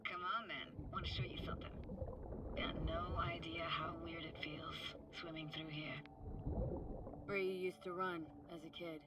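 An actress playing a wistful woman recalling her drowned hometown in a game, speaking softly, close to a whisper. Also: fairly low pitch, fairly even intonation, normal speed, very noisy, close to an everyday voice. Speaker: game character. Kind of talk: game dialogue.

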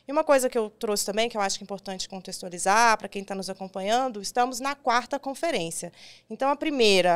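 A woman's voice: a little high, clear, resonant tone. A woman in her thirties with a Brazilian accent, hosting a podcast and guiding an interview at a studio microphone. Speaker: podcast host. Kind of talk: scripted introduction and interview questions. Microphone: desk studio microphone on boom arm.

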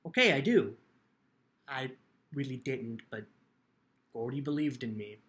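A man in a dramatic book reading, playing an earnest, self-mocking, lonely teenage boy in an animated, expressive way. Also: mid-range voice, unhurried. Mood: reflective. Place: small furnished room at home. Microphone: video call.

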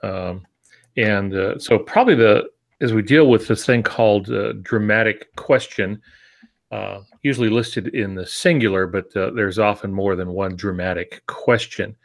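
A man in his sixties with a low voice speaks as an informal teacher. He explains step by step in an easygoing way, often adding asides and disclaimers. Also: unhurried. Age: 60s. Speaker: co-host and writing teacher. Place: small home office, on a video call.

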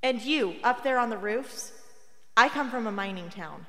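A woman's voice: a little high, lively intonation, normal speed, sharp tone. A woman acting a defiant teenage rebel girl, reverberant. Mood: defiant, weary. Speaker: actor in a radio play. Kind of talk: radio drama acting. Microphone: close studio broadcast microphone. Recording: long hall reverb.

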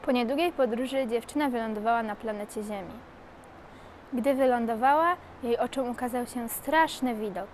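High-pitched female story narrator. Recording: some background noise and ambience.

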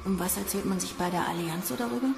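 An actress as a brash young female recruit in a sci-fi radio play. She talks in blunt, cynical slang at a medium pitch, with clear music behind her. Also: fast talker, lightly acted. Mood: cynical gossip. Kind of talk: radio drama dialogue.

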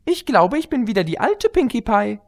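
A man voicing an excitable, cheerful young female pony in a storybook reading. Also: very high-pitched, normal speed, gravelly, pitched above the performer's own voice, exaggerated voice. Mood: chirpy, relieved.

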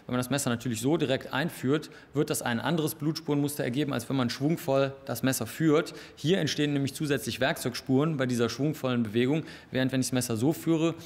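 A man's voice: medium pitch, fast, few pauses, a little mellow, big-room sound. A man in his thirties, a forensic expert, explaining blood patterns precisely in an interview in a lab. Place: indoor lab.

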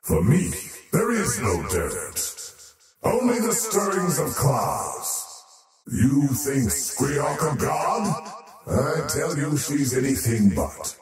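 An actor voicing an arrogant, menacing faceless void creature in a video game, with pompous, ominous and theatrical delivery. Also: male voice, medium pitch, very animated, takes it slowly, far from the mic. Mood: brooding. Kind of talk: theatrical game character voice lines. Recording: roomy reverb over background music.

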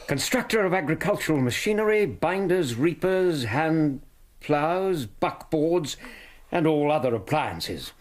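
A genial, loyal doctor companion in a radio drama. He speaks in an affable, steady way, with a lively lilt to his lines. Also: fairly high pitch, measured pace. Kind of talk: 1990s radio drama acting.

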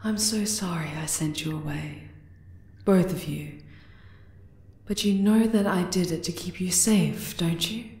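A woman voicing a regal, possessive yet tender spider queen in an ASMR roleplay, soft and deliberate in manner. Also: on the low side. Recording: roomy, echoing cave-like reverb.

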